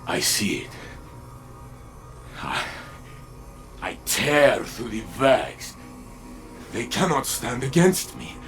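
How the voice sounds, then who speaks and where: Game role: grave robot warrior, gravelly, weighty, bright forceful edge, swinging widely in pitch. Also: male voice, very animated, faint music behind, heavily acted. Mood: anguished. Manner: halting, weighty, dramatic delivery. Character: grave, troubled male robotic warrior searching his lost past. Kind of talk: dramatic video game acting.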